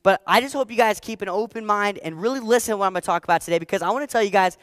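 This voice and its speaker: A fourteen-year-old boy giving a motivational talk on stage, energetic and emphatic, repeating his key phrases. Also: high-pitched, racing, dry recording, clean studio sound. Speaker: motivational speaker. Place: stage before a live audience.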